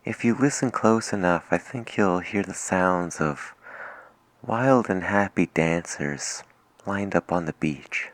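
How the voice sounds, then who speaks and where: A man speaking softly and intimately as a late-night radio DJ in an unhurried, soothing ASMR style. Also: low voice. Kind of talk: soft-spoken ASMR radio DJ roleplay.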